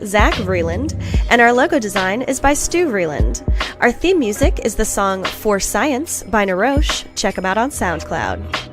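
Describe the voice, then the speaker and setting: A woman with a slightly high voice presents a scripted podcast outro. Music plays clearly behind her as she addresses listeners in the role of host.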